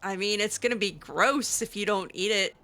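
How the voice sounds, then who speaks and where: A woman gamer improvising in character in a casual, hesitant, halting way as she plays. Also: mid-range voice, bright, forceful tone. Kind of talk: improvised casual roleplay commentary over a game.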